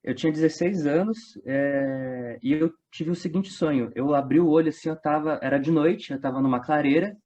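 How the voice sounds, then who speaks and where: Slightly high-voiced São man, muffled audio. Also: moderate pace, hardly any pauses, mellow. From São Paulo state, Brazil. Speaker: interview guest, audio drama creator. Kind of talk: casual storytelling. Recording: dull lo-fi online interview audio.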